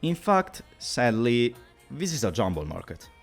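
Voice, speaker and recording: A man's voice: medium pitch, big pitch swings, measured pace, vocal fry, faint music behind. A man giving a scripted, lecture-style explainer as a video presenter, with wry, teacherly asides.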